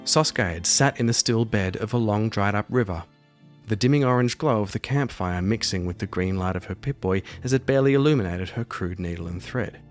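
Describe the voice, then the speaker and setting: A man's voice: fairly low pitch, brisk, faint music behind. A man hosting a solo roleplaying podcast, delivering scripted commentary and narration. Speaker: podcast host and solo game master.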